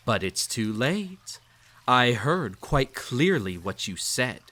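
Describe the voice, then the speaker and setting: Man acting slow, haughty, cruel emperor. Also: mid-range voice, very animated, heavily acted. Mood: cold, menacing. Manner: haughty, condescending, drawn-out teasing delivery with soft chuckles. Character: cruel possessive emperor, condescending, silkily indulgent, menacing. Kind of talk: roleplay audio acting, intimate second-person monologue.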